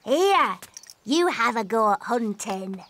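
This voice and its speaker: Wilful little cartoon girl, high-pitched, very slow emphatic delivery. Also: expressive, fairly bright tone, slight background noise. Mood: playful, excited. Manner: emphatic, drawn-out childish declarations. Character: bold, wilful, excitable little cartoon princess.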